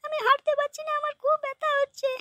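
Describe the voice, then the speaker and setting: A woman's voice: very high-pitched, flat delivery, fast, sharp tone, dry recording. A woman voicing a naive, plaintive young married daughter in a cartoon comedy.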